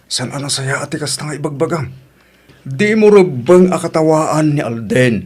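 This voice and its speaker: A man's voice: a little high, normal speed, a little mellow, breathy edge. A man with an Ilocano accent acting in a family audio drama, speaking in a plaintive, earnest way. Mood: reproachful.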